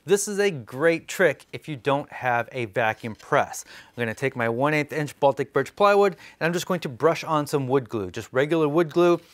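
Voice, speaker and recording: A man's voice: a little high, fairly bright tone, slight background noise. A man in his forties with an American accent, hosting a woodworking tutorial in a casual, conversational way. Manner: informal, conversational. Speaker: woodworking host. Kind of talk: casual how-to tutorial narration. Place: indoor workshop studio.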